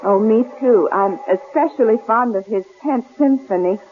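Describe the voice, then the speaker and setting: A frightened but sharp-witted woman traveler acted by a woman, suspicious and insistent. She speaks with guarded urgency in a radio drama, on a muffled, phone-like old recording with faint hiss. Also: a little high, normal speed, close to an everyday voice. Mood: probing, careful.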